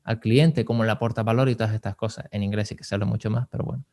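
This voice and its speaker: A man's voice: lowish voice, fairly even intonation, rapid-fire, darkish tone. A man speaking as a podcast host and advisor, explaining things in an informal, conversational way. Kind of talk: casual conversational advice.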